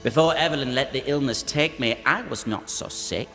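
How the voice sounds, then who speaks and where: A man voicing the flirtatious, deluded ghost of a sickly, lovesick gentleman in a theatrical, singsong video game performance. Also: mid-range voice, normal speed, lightly acted. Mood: defensive, dismissive. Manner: theatrical, singsong, repeating phrases. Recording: long echoing reverb, music underneath.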